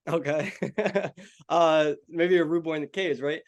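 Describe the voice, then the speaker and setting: A young adult man conducts a sports interview in a friendly, casual way, tossing in "bro" and "man". His voice sits on the higher side for a man. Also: steady tone, unhurried.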